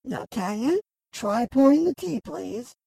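A woman's voice: fairly high pitch, expressive, a little slow, vocal fry, dark tone, husky, no echo, exaggerated voice. A woman playing a fussy, self-important magical queen with imperious, precise delivery in a fantasy audio drama. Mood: instructing.

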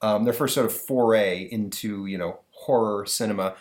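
A man hosts a casual podcast, talking in a slightly low voice. He rambles and restarts his sentences, with fillers all through. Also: fairly even intonation, moderate pace. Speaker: podcast host. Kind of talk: casual chat.

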